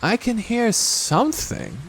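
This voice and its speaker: A man voicing a calm, kindly young boy in a children's story. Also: very high voice, takes it slowly, slight background noise, pitched above the performer's own voice, heavily acted. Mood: calm, reassuring.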